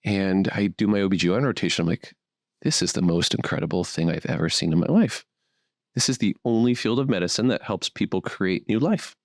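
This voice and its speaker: A man's voice: low voice, animated, a little fast, no room sound, clean studio sound. A man in his thirties, born in Brazil and raised in Miami, telling his story as a guest on a podcast in a chatty, upbeat way with self-deprecating humor. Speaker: fertility doctor, guest. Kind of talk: relaxed podcast interview.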